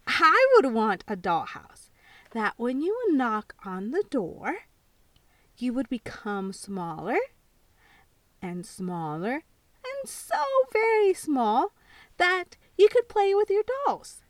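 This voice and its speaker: A dreamy, imaginative little girl of about four wishes out loud in a high, lively voice, as a woman reads her part. She talks slowly and earnestly in a storybook tale. The sound is dry and set a little back from the mic. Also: no echo, far from the mic, exaggerated voice. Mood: wistful.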